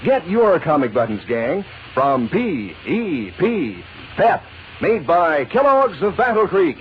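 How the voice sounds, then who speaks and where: Lively male 1940s radio pitchman. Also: takes it slowly. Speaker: announcer and ad pitchman. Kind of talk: enthusiastic 1940s radio ad reading to children. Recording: muffled old radio recording, faint hiss.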